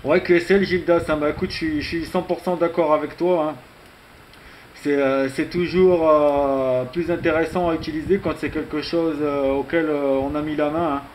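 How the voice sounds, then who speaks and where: A man with a fairly high voice talks casually as a ham radio operator over a light layer of background noise. His delivery is conversational and a bit halting, punctuated with small tag words. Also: fairly even intonation, darkish tone, low-quality recording. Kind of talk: casual radio contact chat. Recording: faint background hiss.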